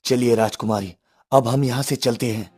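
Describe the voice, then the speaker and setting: A man's voice: medium pitch, fairly even intonation, normal speed, darkish tone, slightly rough, soft background music, voice pushed down, natural voice. A man voicing a brave young prince in a cartoon story, speaking formally and resolutely. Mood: reassuring. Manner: formal, declarative.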